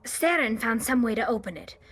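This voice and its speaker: Slightly high-voiced, wary woman soldier. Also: animated, fairly quick, husky. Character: wary, practical female soldier squadmate. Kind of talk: sci-fi game dialogue.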